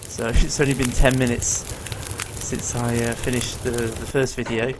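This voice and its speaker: A man's voice: medium pitch, little pitch movement, moderate pace, background noise. Man with a strained voice. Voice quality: rough, tired-sounding voice. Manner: easygoing, explains as he plays, many ums and uhs. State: strained, failing voice. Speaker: gameplay commentator. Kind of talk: casual let's-play commentary.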